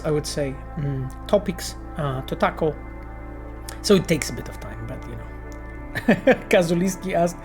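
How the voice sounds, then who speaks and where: A man who is a game developer, answering chat questions on a casual livestream with earnest, halting explanations and many restarts. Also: a little high, a little mellow.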